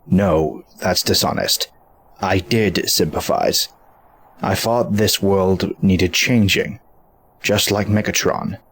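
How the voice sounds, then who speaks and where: A man playing an earnest, principled robot hero in a fan dub, speaking in a grave, measured, deliberate way. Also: low voice, steady tone, a bit bright, breathy edge, exaggerated voice. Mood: solemn. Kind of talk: scripted fan dub acting. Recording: faint background noise and ambience.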